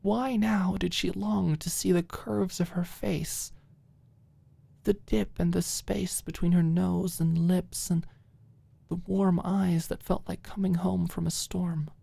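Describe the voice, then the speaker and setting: A woman narrating a scripted story as a soft ASMR-style audiobook. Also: lowish voice, steady tone, slow, gravelly, slightly rough, no echo.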